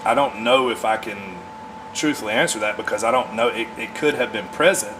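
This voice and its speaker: A man in his forties talking casually as a podcast guest, in an earnest, halting way as he thinks aloud. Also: mid-range voice, noisy background. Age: 40s. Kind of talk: casual conversation.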